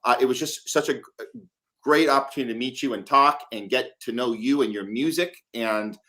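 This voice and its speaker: A man's voice: medium pitch, brisk, bright, forceful tone. Conversational male interview host.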